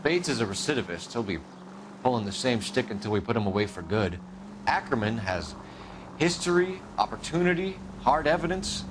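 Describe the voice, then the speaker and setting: A man's voice: mid-range voice, sing-song, moderate pace, background noise, natural voice. Man playing an earnest, firm American police detective. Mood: measured. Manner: firm, clipped, formal questioning. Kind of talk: video game cutscene acting, police interrogation.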